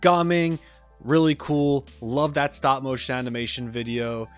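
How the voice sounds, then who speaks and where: A man's voice: medium pitch, fairly even intonation, measured pace, clear, resonant tone. A man giving casual gaming reaction commentary as a video host, informal and exclamatory, thinking aloud as he goes. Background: background game music.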